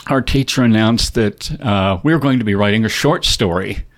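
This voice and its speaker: A man's voice: on the low side, moderate pace. An older man, an author guest with a slight Canadian accent on certain words, telling rambling, self-deprecating stories with many fillers in a library studio interview. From born in North Dakota, raised partly in Canada. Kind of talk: casual storytelling interview.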